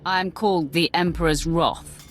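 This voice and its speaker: A female Sith warrior played by a woman speaks in a low, commanding voice. She is cool, curt and imperious, in video game cutscene style, with faint music underneath. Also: steady tone, moderate pace, natural voice. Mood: cold, imperious.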